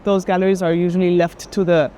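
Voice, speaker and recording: A woman with a Colombian accent, speaking thoughtfully in conversation on an art fair tour, often pausing and repeating words. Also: fairly low pitch, little pitch movement, dark tone. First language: Spanish. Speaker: art advisor. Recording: faint urban ambient noise.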